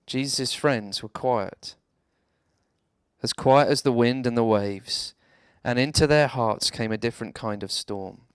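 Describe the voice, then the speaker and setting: Slow-paced man reading a bedtime story. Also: mid-range voice, clean tone, no echo, studio quality. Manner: dramatic, measured delivery with deliberate pauses. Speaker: reverend, bedtime story reader. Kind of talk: expressive bedtime storytelling for children.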